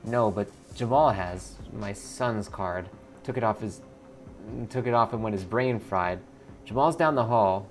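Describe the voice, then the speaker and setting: A man's voice: fairly low pitch, unhurried, soft background music, close to an everyday voice. A man voicing a careworn, wary woman in her thirties in a game, speaking in short plain sentences. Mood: sad, guarded.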